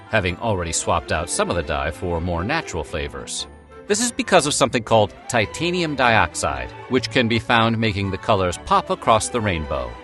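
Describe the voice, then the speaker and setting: A male narrator reads a playful script over clear background music. His pitch swings in a lively way, and he drops in wry, joking asides. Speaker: narrator of a food history video. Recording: music bed behind the voice.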